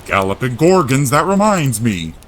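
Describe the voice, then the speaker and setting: A man playing a big, gruff, kindly, emotional giant of a man in an audio drama, speaking bluntly in rustic dialect with exclamations. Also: mid-range voice, very animated, normal speed, slight background noise, voice pushed up, lightly acted. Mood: startled.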